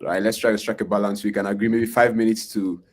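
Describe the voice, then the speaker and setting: A man's voice: fairly low pitch, little pitch movement, quick pace. A man hosting an interview podcast, asking long rambling questions full of fillers and tag questions. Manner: rambling, filler-heavy questions with frequent "right?". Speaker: podcast host. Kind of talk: casual interview talk.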